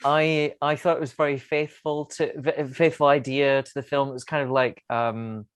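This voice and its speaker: A man on a podcast panel chatting casually about films in a hesitant, halting way. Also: medium pitch, level tone, smooth, dry recording, studio quality. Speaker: podcast panellist, show regular. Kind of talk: casual film chat.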